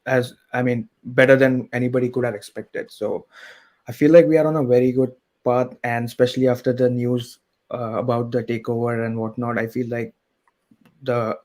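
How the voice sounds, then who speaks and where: A man calling in to a talk show, talking in a measured way and thinking aloud. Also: mid-range voice, level tone, a little mellow. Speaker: caller. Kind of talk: casual call-in chat.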